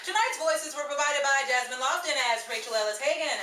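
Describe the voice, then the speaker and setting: A woman's voice: high voice, a little fast. A woman hosting and narrating an old-time radio style show in a theatrical, patter-filled 1930s manner. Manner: bright, theatrical, rhyming patter. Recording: echoey room from a distant mic, crowd and noise behind.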